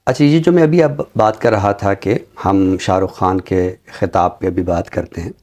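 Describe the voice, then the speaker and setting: A man speaking as an academic guest in a discussion, formal and deliberate, pausing between phrases. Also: deep voice, a little mellow. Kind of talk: measured studio discussion.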